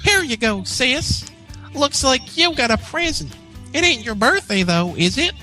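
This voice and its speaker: A man's voice: very high voice, animated, a little slow, pushed and bright, faint music behind, voice pushed up, heavily acted. A man voicing a cute, eager young girl farm pony, chirpy and pushy. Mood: cheerful, curious.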